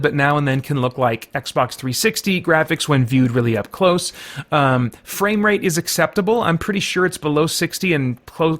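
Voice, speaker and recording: A man's voice: fairly high pitch, fairly bright tone. A man giving a conversational game review, thinking aloud and correcting himself as he qualifies his points. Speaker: reviewer and host. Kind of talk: video game review, conversational semi-scripted commentary.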